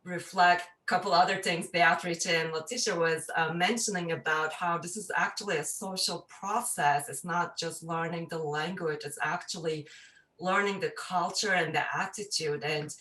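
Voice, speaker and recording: Woman, low bright voice, thoughtful, halting, distant mic in an echoey room. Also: steady tone. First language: Turkish. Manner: thoughtful, many fillers and restarts. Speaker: parent and neuroscience researcher. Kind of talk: casual panel remarks.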